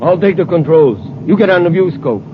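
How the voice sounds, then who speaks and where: Ruthless male space villain, high-pitched voice, curt, menacing, domineering, old radio adventure, noisy transfer. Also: animated, fairly quick, dull audio. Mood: scheming. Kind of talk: 1950s radio adventure serial acting.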